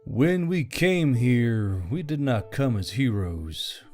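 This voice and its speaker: A man's voice: mid-range voice, animated, slow pace, a little mellow, faint music behind. Man playing a weary, subdued military commander. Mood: confessional, heavy. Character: weary, self-doubting male military commander, soft-hearted. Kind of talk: audiobook character dialogue.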